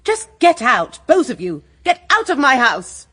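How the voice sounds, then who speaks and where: An actress playing a genteel, proud widow under suspicion in a radio drama, speaking with a refined British accent and a husky, emotive delivery. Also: female voice, high voice, huge pitch swings, measured pace, a bit bright, no room sound, studio quality, exaggerated voice. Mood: offended, angry.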